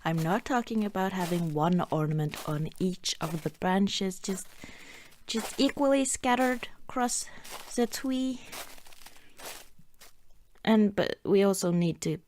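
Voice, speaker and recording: A woman with a Norwegian accent playing a cheerful, affectionate girlfriend in an ASMR roleplay, soft-spoken, chatty and a little hesitant. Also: medium pitch, takes it slowly, long pauses, slight background noise, lightly acted. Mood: cheerful, relaxed.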